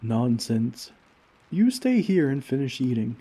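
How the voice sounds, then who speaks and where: A man's voice: medium pitch, sing-song, slow pace, mellow, husky, full character voice. A man playing a kindly giant in an ASMR comfort roleplay, speaking formally and tenderly to a tiny friend. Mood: warm, reassuring. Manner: formal, courteous, tender, old-fashioned wording. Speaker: roleplay audio performer.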